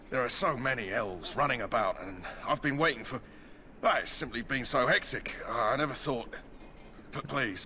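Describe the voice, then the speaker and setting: A man's voice: mid-range voice, bright, forceful tone, close to an everyday voice. An actor playing a shifty, servile male army quartermaster in a video game, with a hesitant, obsequious manner. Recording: noisy game ambience behind voice.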